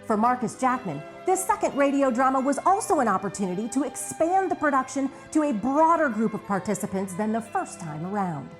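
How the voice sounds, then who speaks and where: A woman's voice: high voice, very animated, fairly quick, hardly any pauses, faint music behind. A woman narrating a scripted documentary retrospective as its host.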